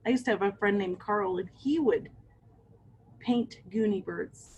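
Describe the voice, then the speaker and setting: A woman's voice: medium pitch, a little slow, darkish tone, husky, lo-fi sound. A woman reading a picture book aloud to children, with chatty asides and questions to her young listeners. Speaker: read-aloud storyteller for children.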